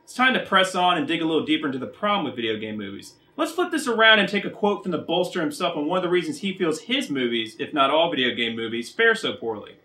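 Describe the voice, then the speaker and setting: A sardonic man in his thirties delivers scripted critical commentary in a lively, swooping voice. He is emphatic and opinionated, pitched a little high, and moves along at a brisk clip. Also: breathy edge. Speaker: video game movie critic, show host. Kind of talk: scripted video essay commentary. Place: small furnished bedroom, indoors.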